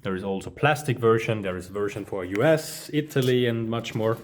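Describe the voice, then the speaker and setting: A man's voice: on the low side, fairly quick, faint music behind. A man in his thirties with a German accent, speaking English as a second language, walks through his office while filming on his phone. His manner is upbeat and casual, and he addresses his viewers as guys. He sounds like a hands-on trainer giving an informal tutorial. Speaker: smart home trainer. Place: office studio room, indoors. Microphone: phone camera held while walking.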